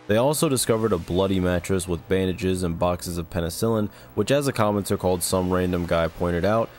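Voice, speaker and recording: Male narrator for scripted analysis videos, low voice, measured delivery with dry asides, music underneath. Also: a little mellow. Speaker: video essay narrator and host.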